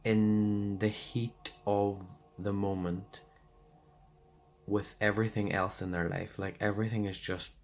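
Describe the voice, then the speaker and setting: A man giving a casual tarot card reading, hoarse and losing his voice, rambling loosely with lots of fillers. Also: on the low side, little pitch movement, measured pace, darkish tone.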